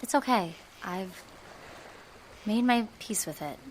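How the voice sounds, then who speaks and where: A woman's voice: medium pitch, lively intonation, slow, low-quality recording. Veronica, a guarded young woman in an audio drama, voiced by an actress in a mid-range voice. She speaks in a dry, subdued way. There is a light wash of ambient noise behind her. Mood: wistful.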